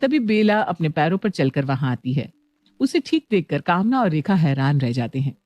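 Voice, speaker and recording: A woman's voice: low voice, very animated, normal speed, a little mellow, dry recording, highs cut off. A woman working as narrator, reading a scripted story.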